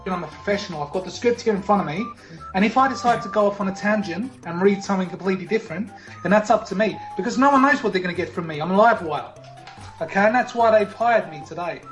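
A man's voice: sits high, fast talker, lo-fi sound, natural voice. Comedy parody: a man playing a cocky Australian male TV game show host, put-on accent, showy bluster, echoey room. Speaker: podcast host. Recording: roomy echo with faint music.

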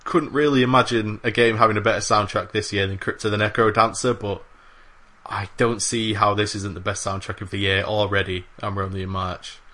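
A man's voice: on the low side, fairly even intonation, a little fast, sharp tone. A man co-hosting a casual games podcast, talking with enthusiasm, with lots of fillers and the odd swear word. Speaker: podcast co-host. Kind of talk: casual chat.

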